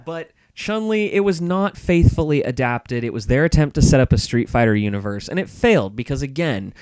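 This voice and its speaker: Male podcast co-host, smooth clean voice, casual and opinionated, close dry sound. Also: mid-range voice, lively intonation, a little fast, darkish tone, dry recording. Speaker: podcast co-host and film reviewer. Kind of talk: casual podcast chat about movies.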